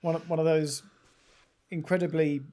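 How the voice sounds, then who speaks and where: A man's voice: a little high, flat delivery, normal speed, warm tone. A man chatting casually as a podcast co-host on a remote call, asking teasing questions. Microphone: remote video call.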